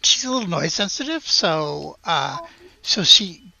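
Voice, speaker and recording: Bright-voiced game master, muffled dry recording. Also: medium pitch, lively intonation, gravelly. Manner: halting, conversational. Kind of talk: improvised tabletop game narration, casual.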